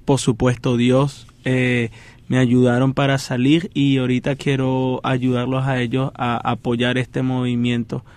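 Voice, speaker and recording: A man's voice: mid-range voice, completely flat delivery, unhurried, a little mellow, no echo. A man from Venezuela, a student guest, speaking earnestly and steadily with some fillers.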